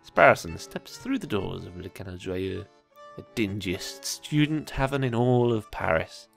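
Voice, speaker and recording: A game player putting on a dramatic, deliberate storybook narrator, with faint music behind. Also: low voice, animated, creaky, full character voice. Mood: atmospheric. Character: storybook narrator setting a dingy tavern scene.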